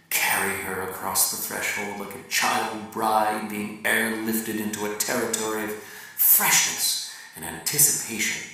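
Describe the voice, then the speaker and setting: A man giving a dramatic literary reading like a raconteur's monologue, theatrical and deliberate with his pauses. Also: lowish voice. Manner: theatrical, deliberate pauses, swinging from hushed to emphatic. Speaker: reader performing a novel excerpt. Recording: echoey room, distant from the mic.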